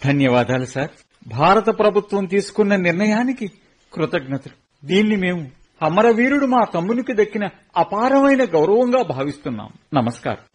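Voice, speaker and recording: A proud elder brother and soldier in a radio drama, played by a man. He speaks in a solemn, formal way, with a husky edge. His voice sits fairly high. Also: very animated, moderate pace, full character voice. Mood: polite, formal. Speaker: actor in a radio play. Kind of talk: radio play acting.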